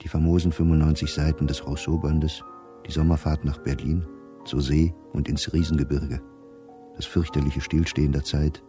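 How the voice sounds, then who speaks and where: An actor playing a weary, gloomy middle-aged diarist, reading in a measured, sober way for a radio drama. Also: male voice, very deep voice, level tone, mellow, faint music behind. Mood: resigned, sombre.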